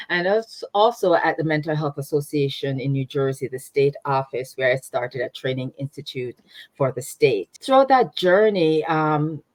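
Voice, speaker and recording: A woman in her fifties with a Jamaican accent talks in a low register about her work. She thinks aloud, with many ums and restarts, and laughs easily. The recording is close and dry, with little room sound. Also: no echo. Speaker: guest, nonprofit founder. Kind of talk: conversational interview.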